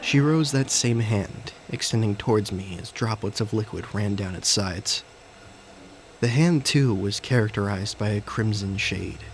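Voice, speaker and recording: A man giving scripted first-person dramatic narration in deliberate, short phrases. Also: fairly low pitch, poor audio. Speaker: narrator and character of an audio story. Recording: faint background noise and ambience.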